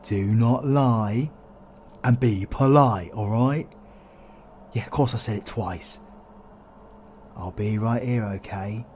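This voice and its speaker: A man with a British accent playing a cocky, foul-mouthed, protective dark-magic supervillain in an audio roleplay, irreverent and colloquial. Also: fairly low pitch, slow pace, pauses often, a little hiss or hum. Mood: urgent, worried.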